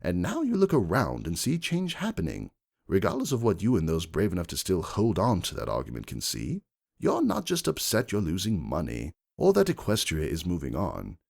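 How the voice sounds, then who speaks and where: A confident aristocratic pony gentleman, played by a man, defiant and cutting as he argues his case. His pitch swings very widely around a lowish, creaky base, over faint music. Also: huge pitch swings, moderate pace, full character voice. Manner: emphatic, cutting. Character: self-assured wealthy gentleman stallion, progressive, defiant. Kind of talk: dramatic first-person fan fiction reading.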